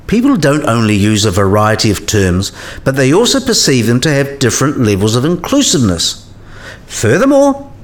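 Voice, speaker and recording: A learned professor in a radio play, acted by a man, philosophical and patient like a mentor. His voice sits at a middle pitch and rises and falls animatedly as he lectures in scripted dialogue. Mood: lecturing.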